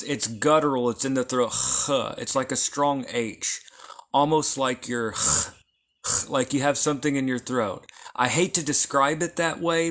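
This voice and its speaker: A man teaches esoteric lore at a slow, unhurried pace. He explains patiently and circles back over each point, often stopping to check in with listeners. His voice sits in an ordinary middle pitch. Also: a bit bright. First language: not Hebrew. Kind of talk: informal lecture.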